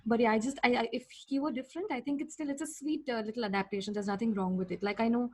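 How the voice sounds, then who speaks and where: A woman podcast guest talking casually and emphatically about her opinions, with a husky voice. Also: a little high, steady tone, rapid-fire. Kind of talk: casual opinionated pop-culture chat.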